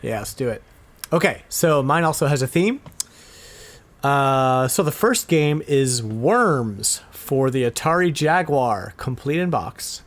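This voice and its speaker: A man's voice: mid-range voice, lively intonation, slow. A man co-hosting a casual podcast, chatting playfully and sometimes muttering to himself in mock exasperation. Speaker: podcast co-host. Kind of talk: casual podcast chat about retro games.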